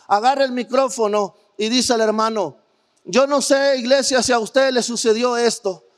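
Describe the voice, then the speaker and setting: A male missionary preacher with a very high-pitched voice delivers a sermon in a church hall with long reverb. He tells his testimony earnestly, addressing the congregation directly and asking them rhetorical questions.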